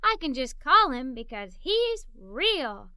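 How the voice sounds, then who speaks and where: A woman's voice: high-pitched, slow, clear, resonant tone, dry recording, studio quality. A woman reading a children's story aloud expressively, in the first person as a curious, imaginative young girl. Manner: expressive, animated, with giggles. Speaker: storybook reader.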